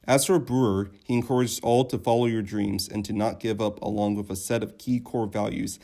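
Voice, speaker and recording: A man's voice: on the low side, fairly even intonation, brisk, mellow. A young man narrating a scripted news segment as a student reporter. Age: young adult.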